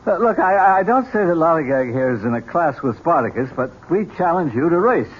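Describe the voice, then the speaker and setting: Man playing a genial, very animated teasing uncle. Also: on the high side, fairly quick, natural voice. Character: Uncle Harry, genial, teasing, sporting older relative. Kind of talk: 1970s radio drama acting. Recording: muffled, faintly noisy old radio recording.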